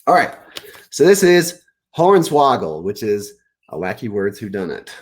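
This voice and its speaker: A man's voice: on the low side, very animated, unhurried, breathy edge. A man, an author, reading aloud and explaining words informally to young listeners at a children's story time. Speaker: author reading own book.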